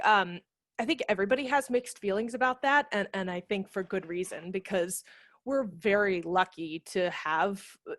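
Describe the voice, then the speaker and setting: A woman's voice: medium pitch, fairly bright tone, no echo, clean studio sound. An American woman in her thirties, chatting informally and enthusiastically as a guest in a video interview. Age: 30s. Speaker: theater managing director and actor, interview guest. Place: home room indoors, on a video call. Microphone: headset mic.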